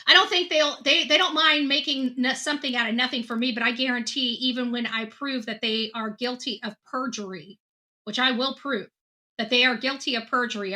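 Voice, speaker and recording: High, very bright, emphatic woman. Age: 40s. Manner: emphatic, indignant, repeats phrases for effect. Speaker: online commentator hosting a true-crime show. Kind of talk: impassioned off-the-cuff commentary, reading viewer comments.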